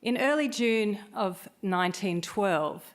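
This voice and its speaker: A woman's voice: medium pitch, lively intonation, slow. A woman academic delivering a prepared conference talk in a narrative, storytelling style.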